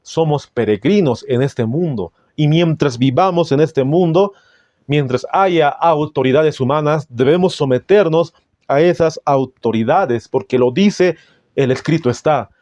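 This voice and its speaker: A man with a Bolivian Spanish accent preaching in a solemn, didactic way, reading out passages with deliberate phrasing. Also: on the high side, a little mellow, no echo, highs cut off. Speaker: Adventist preacher. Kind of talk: sermon with scripture and book readings.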